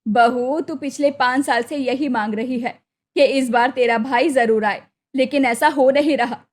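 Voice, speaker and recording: A woman's voice: high voice, steady tone, a little slow. A woman voicing an older village mother-in-law in a cartoon story, blunt, doubting and questioning. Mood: doubtful, chiding. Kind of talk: Hindi cartoon moral story acting.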